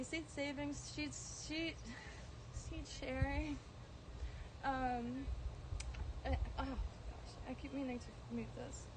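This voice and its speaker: High-voiced woman rambling on a noisy live stream. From Florida. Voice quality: droning at times. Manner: meandering, many ums and restarts, casual swearing. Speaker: plant vlogger streaming live. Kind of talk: casual rambling livestream chat. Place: outdoors in a garden.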